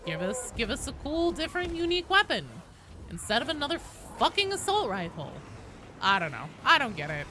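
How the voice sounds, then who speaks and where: A woman streaming a game and chatting casually with viewers in a rambling, sweary, animated way. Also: sits high, a little fast. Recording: clearly noisy background.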